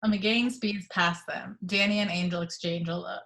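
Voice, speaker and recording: A woman's voice: fairly low pitch, steady tone, normal speed, vocal fry, fairly bright tone, a little hiss or hum. Woman reading stage directions. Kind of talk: table read, reading action description.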